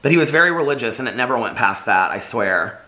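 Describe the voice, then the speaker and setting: A man reading as a gently earnest teenage boy. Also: medium pitch, animated, fast talker. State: slightly losing his voice.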